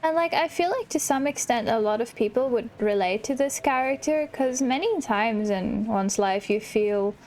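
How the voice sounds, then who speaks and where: A woman's voice: mid-range voice, a little mellow, a little hiss or hum. A woman hosting a gaming channel, commenting casually on play in a reflective, empathetic way. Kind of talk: casual game commentary, reading dialogue aloud.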